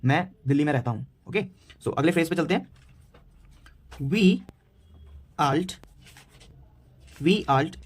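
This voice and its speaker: Man, 19, Indian accent, beginner language tutor; unhurried, stop-start delivery with lots of fillers. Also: fairly high pitch, slightly rough. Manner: slow, halting delivery with many fillers and repetitions. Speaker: German teacher. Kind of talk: beginner language lesson, mixing Hindi and English.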